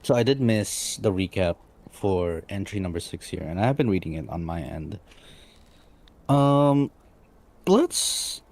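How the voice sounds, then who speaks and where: Deep-voiced man with dry, deadpan jokes. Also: measured pace. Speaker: livestream guest. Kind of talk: casual chat on a livestream.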